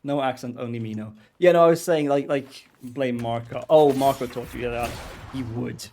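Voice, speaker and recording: A man's voice: medium pitch, moderate pace, darkish tone. A man with a Swedish accent, a teacher and game streamer chatting casually, rambling and hesitant with many ums and restarts.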